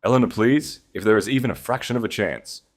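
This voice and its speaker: A man's voice: lowish voice, fast, pitched above the performer's own voice, natural voice. A man voicing a desperate, broken nobleman with a ragged, shaking voice. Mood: pleading.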